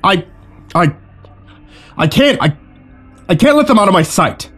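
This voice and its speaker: A man's voice: sits high, very animated, unhurried, a bit bright, breathy edge, soft background music, voice pushed up, full character voice. A man voicing a panicked, defensive gunman who blurts and stammers his excuses, in a dramatic story. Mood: agitated, threatening.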